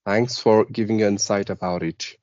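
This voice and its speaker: A man's voice: on the low side, fairly even intonation, normal speed, a little mellow, muffled recording. A man interviewing a guest, speaking formally and praising effusively.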